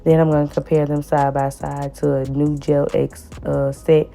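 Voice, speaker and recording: A woman with a hoarse, raspy voice from the flu, chatting casually as she narrates a nail tutorial and repeats words for emphasis. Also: flat delivery, moderate pace. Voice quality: raspy and deep. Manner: repeats words for emphasis, warm pleading asides. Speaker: nail tech vlogger.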